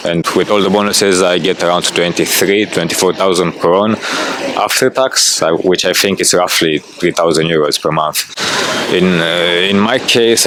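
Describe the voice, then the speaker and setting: Deep-voiced young man outdoors. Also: noisy background. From Romania. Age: 20s. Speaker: interviewee, warehouse robot operator. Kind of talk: casual street interview answers. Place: city street outdoors.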